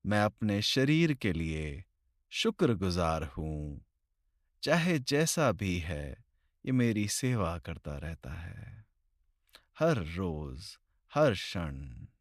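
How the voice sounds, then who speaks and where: A man leading a guided meditation in slow, deliberate phrases with long pauses, recorded close to the mic. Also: low voice, very animated, no room sound.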